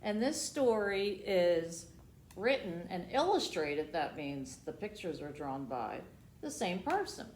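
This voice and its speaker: A woman hosting a storytime, reading aloud expressively for children and dropping in asides, moving between hushed and emphatic delivery. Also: lowish voice, sing-song, takes it slowly. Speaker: library storytime host. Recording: roomy echo with faint background noise.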